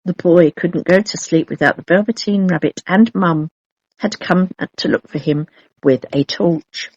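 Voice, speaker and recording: A woman narrating a children's storybook in an expressive, measured storytelling manner. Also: lowish voice, dry recording, muffled recording. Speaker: storybook reader.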